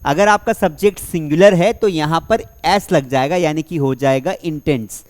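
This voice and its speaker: A young man teaching spoken English, asking the class questions and slowly repeating example sentences word by word. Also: a little high. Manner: energetic classroom delivery, slow dictation of example sentences; asks rhetorical questions and repeats answers. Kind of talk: spoken English lesson in Hindi.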